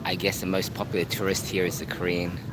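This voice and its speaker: A man with a fairly low voice chats through a phone live stream from a beachside spot. He rambles casually and keeps repeating words as he restarts. Clear street and vehicle noise runs underneath him. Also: completely flat delivery, fast talker. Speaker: travel vlogger. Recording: outdoor traffic noise.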